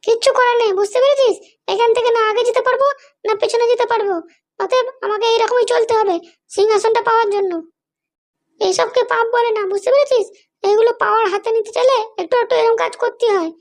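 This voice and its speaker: A performer voicing a scheming, power-hungry madam in a comedy cartoon, with a high childlike voice and a bossy, threatening manner. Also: fairly even intonation, fast. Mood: dismissive, bossy. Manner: bossy, threatening, self-justifying. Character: scheming, power-hungry madam, ruthless mother seeking political power.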